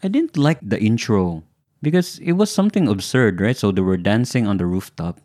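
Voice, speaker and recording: A man's voice: on the low side, expressive, normal speed, darkish tone, dry recording, studio quality. A man chatting casually as a co-host, often repeating words and checking for agreement. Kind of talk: casual chat.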